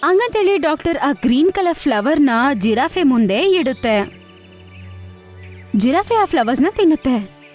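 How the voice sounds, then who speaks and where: Casting: woman narrator for kids' animal stories, high-pitched and very animated, music under the voice. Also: a little slow, pauses often. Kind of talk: animated children's storytelling narration.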